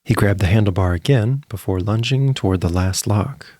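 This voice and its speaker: A man narrating a scripted story in a measured, suspenseful storytelling manner. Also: lowish voice, noisy background.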